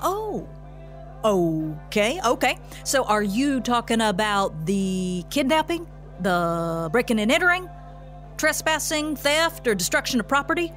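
A commanding female police chief in an audio drama. Her voice sits fairly high and moves animatedly. She is folksy and sardonic, with music behind her. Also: big pitch swings, a little slow, fairly bright tone. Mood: sarcastic, incredulous. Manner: sarcastic, folksy, authoritative. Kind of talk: scripted audio drama acting.

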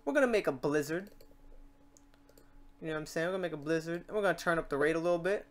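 A man hosting a software tutorial in a casual, chatty way, talking through each step aloud. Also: on the high side, fast. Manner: informal, chatty, talks through steps aloud. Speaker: tutorial host.

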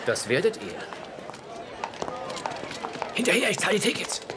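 A man's voice: sits high, huge pitch swings, normal speed, a crowd in the background, background noise. A cocky swaggering ladies' man. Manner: cocky, showy. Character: brash smooth-talking womanizer man, 30s. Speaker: dub actor. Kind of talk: German dubbed sitcom.

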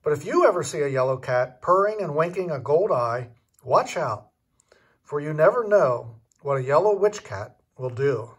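A man's voice: on the high side, expressive, normal speed, breathy edge. An older man reading a children's storybook aloud expressively, as a grandfather would.

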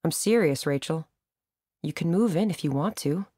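A woman reading the part of an impulsive, slightly drunk man who loudly proposes marriage, speaking with theatrical force. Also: fairly high pitch, moderate pace, husky, no room sound, studio quality. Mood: earnest. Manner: loud, theatrical shouting.

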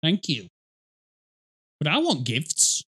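Bright-voiced, animated middle-aged man. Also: fairly high pitch, slow pace, slightly rough. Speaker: reaction video host. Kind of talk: casual reaction commentary.

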